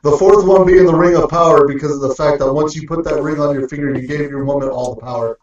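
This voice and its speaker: Guy joking in group chat, highish voice, really echoey and far off. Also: fairly even intonation, quick pace, darkish tone. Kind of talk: casual joking round-table chat. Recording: very echoey, distant, muffled mic.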